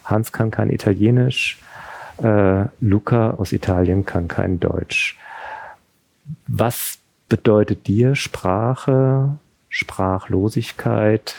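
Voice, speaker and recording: Man, interviewer, deep, gravelly, dark tone, dry sound, conversational. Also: slow pace. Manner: conversational, thinking aloud with fillers. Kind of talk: interview questions and summaries.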